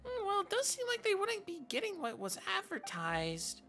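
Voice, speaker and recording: A tiny fairy sidekick, as a game streamer puts it on, pitched very high and squeaky. The little creature sounds cheeky and eager, chirping through video game dialogue with music behind. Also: huge pitch swings, normal speed, pushed and bright, full character voice. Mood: curious, cheeky. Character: small high-pitched fairy companion, cheeky and eager, speaks in third person.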